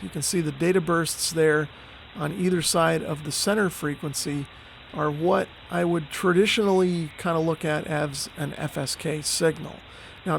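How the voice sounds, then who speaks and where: A man's voice: fairly high pitch, creaky, a little hiss or hum, low-quality recording. A man hosting a hobbyist video, explaining step by step in a tentative, non-expert way as he walks through what is on screen. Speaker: radio hobbyist video host.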